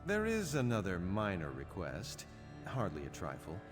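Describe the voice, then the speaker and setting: A self-assured merchant schemer in a fantasy video game. His low voice glides smoothly and insinuatingly over clear music, in a long reverb. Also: wildly swooping pitch, moderate pace. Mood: silky, scheming.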